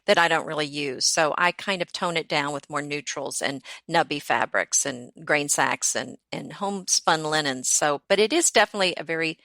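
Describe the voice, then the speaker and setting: A confident middle-aged woman from the United States talks at length in a relaxed podcast conversation. Her voice is on the lower side yet bright and clear, and the recording is close and dry. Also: moderate pace, few pauses, no echo. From United States, born in Oklahoma. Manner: explains at length, self-assured and chatty. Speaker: podcast co-host, home decor designer and blogger. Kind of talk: casual chat.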